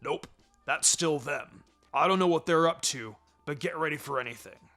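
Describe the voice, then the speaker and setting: A narrator playing a small, gruff ninja dog in command of his pack. The voice drops low and speaks in terse, businesslike storybook style, with faint music underneath. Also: normal speed, a bit bright, close to an everyday voice. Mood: wary.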